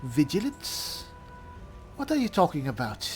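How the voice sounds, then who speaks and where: A woman's voice: sits low, wildly swooping pitch, unhurried, a little mellow, faint music behind. A woman roleplaying aloud through a video game, musing in character in a wry, theatrical way. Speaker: roleplaying game player, host. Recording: faint background noise, game ambience.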